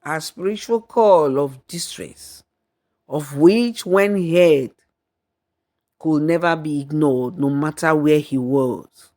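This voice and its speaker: A woman narrating a folktale in a deliberate, dramatic storyteller's manner. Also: low voice, darkish tone. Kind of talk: scripted folktale narration with acted dialogue and song.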